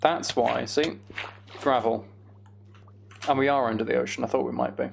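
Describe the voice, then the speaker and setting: A man with plenty of vocal fry in a middling pitch, commentating casually on his own gameplay for a livestream. He mutters asides to himself and pokes fun at himself as he goes. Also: fairly even intonation, moderate pace, a little hiss or hum.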